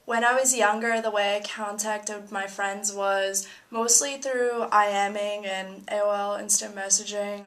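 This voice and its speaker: 19 yr old freshman girl, mid voice, talks smooth and thoughtful, says like a lot. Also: medium pitch, little pitch movement, a little slow, few pauses, fairly bright tone, poor audio. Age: teens. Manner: thoughtful, fluent, uses like and I mean. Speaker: college freshman interviewee. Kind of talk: casual interview answers.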